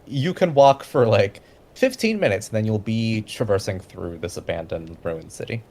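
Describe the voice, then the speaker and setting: A man's voice: on the low side, lively intonation, moderate pace, warm tone. A man acting as game master, casually explaining rules and scenes to players.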